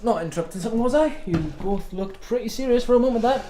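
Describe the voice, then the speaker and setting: An actor playing a troubled, self-loathing drifter in a radio drama, with a British accent and a sardonic, brooding manner. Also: male voice, extremely high pitch, fast, very noisy. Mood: sociable. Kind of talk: naturalistic radio drama acting. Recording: crowd chatter behind the voice.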